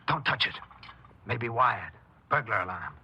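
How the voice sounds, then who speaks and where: Edgy small-time crook, noisy radio. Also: male voice, mid-range voice, expressive, a little slow. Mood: tense. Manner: streetwise, colloquial, edgy. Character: nervous small-time male burglar, blunt, distrustful. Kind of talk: 1950s radio crime drama acting. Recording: noisy, dull 1950s radio recording.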